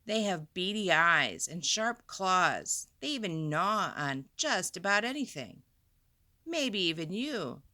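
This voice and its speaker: Female storyteller for kids: lively swooping delivery, clear dramatic emphasis, forward bright tone, chatty asides. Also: on the low side. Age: adult. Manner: deliberate, dramatic emphasis, chatty questions to listeners. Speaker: storyteller, teacher reading to children. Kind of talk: expressive picture book read-aloud with asides.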